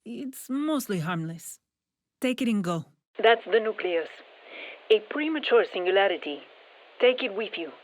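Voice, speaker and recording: A woman playing a composed female scientist who guides a mission in a video game, giving measured, businesslike instructions. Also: mid-range voice, lively intonation, natural voice. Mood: focused, instructive. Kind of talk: scripted video game voice lines. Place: dry studio.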